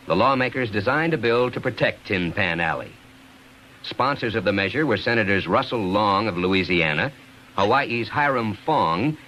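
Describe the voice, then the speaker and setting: A man's voice: on the low side, fairly bright tone, rough recording. A man working as a radio announcer, delivering scripted narration of light anecdotes. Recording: hissy old radio recording.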